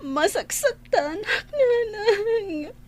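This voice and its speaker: An actress playing a lovelorn young woman in a radio drama, with a thin, pleading, drawn-out delivery. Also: extremely high pitch, lively intonation, a little mellow, a little hiss or hum, full character voice. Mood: tearful, pleading. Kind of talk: Ilocano radio drama acting.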